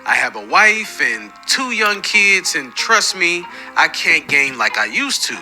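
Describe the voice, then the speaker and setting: Man, late thirties, very bright tone, conversational, addresses viewers, faint music behind. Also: a little high, very animated, measured pace. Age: 30s. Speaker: gaming video creator.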